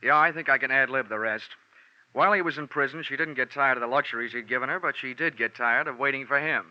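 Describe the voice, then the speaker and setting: An actor playing a wry, hard-boiled American insurance investigator in a 1940s radio drama, narrating with dry, deadpan wisecracks. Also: male voice, medium pitch, fast talker, no echo, natural voice. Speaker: lead actor narrating as the detective. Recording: muffled 1940s radio recording with music.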